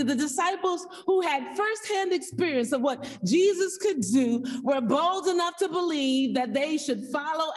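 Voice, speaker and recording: High-pitched woman preaching in echoing hall. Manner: earnest, exhorting, with repeated phrases and emphatic pauses. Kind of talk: sermon. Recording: echoey hall, distant from the mic.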